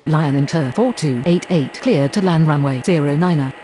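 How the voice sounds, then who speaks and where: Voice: medium pitch, moderate pace, dark tone, slight background noise, lightly acted. A performer voicing a procedural airport radio operator in a game, reading formal aviation phraseology. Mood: businesslike. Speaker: air traffic control radio voice.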